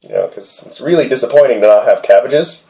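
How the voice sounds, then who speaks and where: A man hosting storytime, reading a fairy tale aloud with playful, deadpan asides. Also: medium pitch, fairly quick, poor audio. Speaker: host and storyteller.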